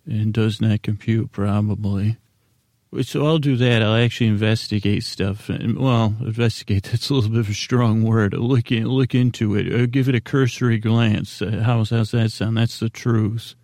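A man hosting a sleep podcast in a casual, meandering monologue, halting and mumbling in a self-deprecating way. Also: fairly low pitch, fairly even intonation, darkish tone, no echo, clean studio sound. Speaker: podcast host.

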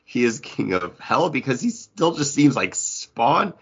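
A male podcast co-host chats casually with a raspy, rough-edged voice. His intonation moves around a great deal at a middling pitch. The recording is a bit dull. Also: big pitch swings, measured pace. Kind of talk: casual comic book discussion.

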